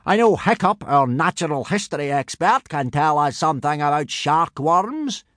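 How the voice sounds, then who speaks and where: A man's voice: a little high, animated, normal speed, fairly bright tone, no room sound, studio quality, pitched above the performer's own voice. A man voicing a pompous, self-satisfied Viking warrior instructor in an audiobook, bellowing boastful commands. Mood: booming, commanding.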